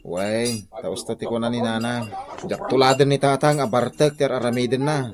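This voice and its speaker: A man's voice: mid-range voice, fairly even intonation, moderate pace, a crowd in the background, natural voice. A man playing an easygoing, teasing young graduate son with an Ilocano Filipino accent in a radio comedy drama. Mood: playful. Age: young adult.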